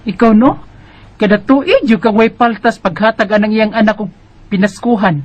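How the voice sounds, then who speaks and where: A woman's voice: mid-range voice, moderate pace, darkish tone, lightly acted. A woman acting a sardonic Bisaya girlfriend who nags and chides her partner in a radio drama. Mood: chiding.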